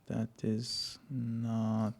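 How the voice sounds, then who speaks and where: Slow gravelly man. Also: lowish voice, completely flat delivery, darkish tone. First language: Serbian. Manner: halting, muttered asides, trailing off in whispers. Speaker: software engineer. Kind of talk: thinking aloud while coding.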